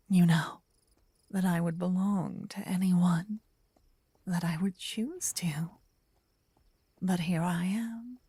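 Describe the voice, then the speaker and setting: A woman playing a gentle, possessive, lovestruck vampire in a soft, intimate, breathy ASMR whisper, close to the ear. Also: on the low side, dry recording, exaggerated voice. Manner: intimate, slow, teasing. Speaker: ASMR roleplay performer. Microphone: close binaural ear-to-ear microphone.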